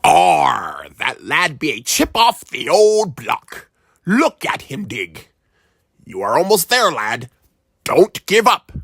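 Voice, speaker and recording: A storyteller putting on a gravelly old pirate, full of gruff pirate talk. His voice is husky and swings up and down, recorded close and dry. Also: medium pitch, wildly swooping pitch, slow, fairly bright tone, dry recording, pitched above the performer's own voice, exaggerated voice. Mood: encouraging. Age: older. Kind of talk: children's storybook read-aloud.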